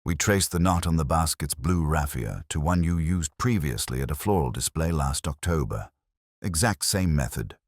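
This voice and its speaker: A man voicing a calm, quietly shrewd police inspector who lays out evidence in a measured, understated and methodical way. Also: a bass voice, dry recording, studio quality, full character voice. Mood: quietly firm.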